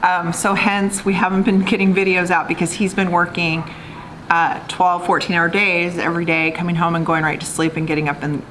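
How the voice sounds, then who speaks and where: Female vlogger, lower register, chatty conversational storyteller, noticeably noisy recording. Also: little pitch movement, brisk, a bit bright. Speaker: vlog co-host. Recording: clearly noisy background.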